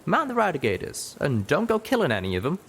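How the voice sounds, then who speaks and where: A man voicing a friendly, blunt, folksy female pony from a rough town. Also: on the high side, wildly swooping pitch, fast, a bit bright, a little hiss or hum, voice pushed up, exaggerated voice. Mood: friendly, warning.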